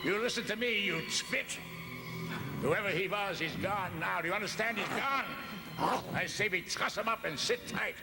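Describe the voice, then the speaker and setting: An actor playing a gruff, brusque expatriate doctor with a German accent in a horror audio drama. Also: male voice, high voice, normal speed, over music. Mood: urgent, commanding. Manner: brusque, commanding.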